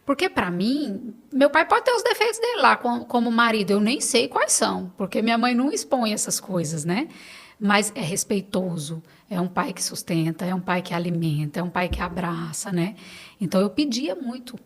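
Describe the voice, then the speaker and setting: A woman's voice: medium pitch, animated, moderate pace. A middle-aged Brazilian woman speaking Portuguese as a podcast guest, in casual, earnest personal conversation, repeating phrases for emphasis.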